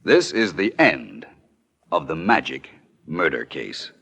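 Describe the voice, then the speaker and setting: An actor playing a confident, commanding amateur detective in 1940s radio drama style, assured and deliberate. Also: male voice, extremely low pitch, sing-song, vocal fry, very noisy, exaggerated voice. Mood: assured, accusing.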